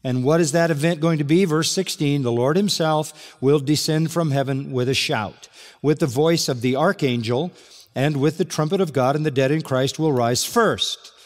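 A man's voice: a little high, moderate pace. An older man preaching an expository sermon, earnestly reading scripture aloud and stressing key points as a pastor. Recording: large hall with long reverb.

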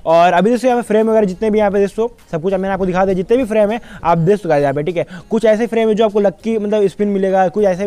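A young man talks through game updates in a casual YouTuber style. His voice is high for a man and fairly soft-edged, and he moves very quickly. He is chatty and leans on repeated tags to check the listener follows. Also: smooth. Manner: repetitive verbal tags, chatty. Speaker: gaming YouTuber.

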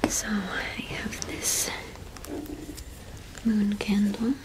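A young woman whispering gently and unhurriedly in a casual ASMR roleplay, close to the microphone. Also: medium pitch, level tone, extremely slow. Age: young adult. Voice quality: breathy whisper. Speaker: ASMR roleplay performer playing a shopkeeper witch.

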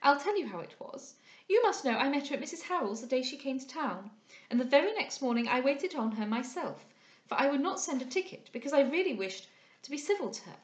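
A woman's voice: high-pitched, very animated, brisk, echoey room, pitched above the performer's own voice. A woman voicing a voluble, gossipy young society lady with gushing, indignant chatter in a period audiobook. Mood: indignant, huffy. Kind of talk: dramatised audiobook reading of a period novel.

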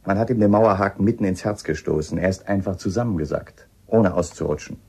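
A shrewd inspector in a radio play. His voice is deep and mellow with a gravelly grain. He is polite, a little hesitant and probing. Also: fast. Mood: probing, firm. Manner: hesitant, probing, matter-of-fact. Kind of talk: radio drama acting.

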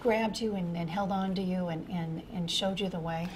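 A woman's voice: on the low side, steady tone, normal speed, a little hiss or hum. An older woman hosting a TV news studio interview, asking emphatic leading questions. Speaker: TV news host.

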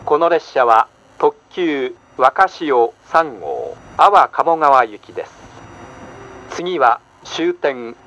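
A man reading a scripted automated train announcement in a formal, measured way with careful enunciation. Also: mid-range voice, expressive, a bit bright. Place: played over a train's announcement system. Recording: muffled train speaker recording, cabin noise. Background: faint train running noise.

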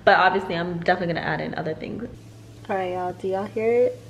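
A woman's voice: lowish voice, fast, vocal fry, a little hiss or hum. A young woman filming a casual cooking tutorial in her home kitchen, talking slangy and chatty to her viewers and calling them y'all. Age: young adult. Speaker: cooking vlogger. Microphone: camera on the counter and handheld.

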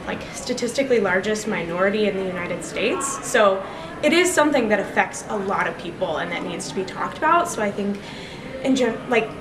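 A young woman giving a casual, halting interview answer as a student, searching for words. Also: mid-range voice, distant mic. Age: young adult. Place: indoor room, standing before a camera. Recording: noisy roomy indoor sound, off mic.

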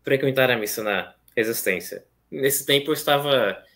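A man's voice: medium pitch, normal speed. A young man in his twenties from São Paulo with a São Paulo accent, hesitantly telling his story on a video call from a small plain room. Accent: São Paulo Portuguese. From São Paulo, Brazil. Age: 20s. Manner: soft-spoken, hesitant with many fillers. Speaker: seminarian guest. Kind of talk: casual interview, recounting his story. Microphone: video call webcam.

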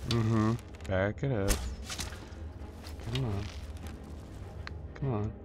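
A man's voice: lowish voice, extremely slow. A man giving casual, improvised gameplay commentary, muttering playfully to himself. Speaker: gameplay host. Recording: clear background noise.

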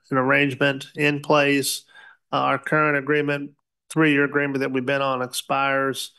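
A man presenting an agenda item at a school board meeting, speaking haltingly with many filler pauses. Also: medium pitch, vocal fry, fairly bright tone. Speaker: school district administrator.

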